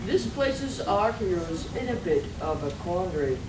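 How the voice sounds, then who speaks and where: A woman's voice: fairly low pitch, very animated, moderate pace. A woman narrating a mock-heroic comic adventure in a live radio play. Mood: mock-dramatic. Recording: roomy, distant, noisy live stage recording.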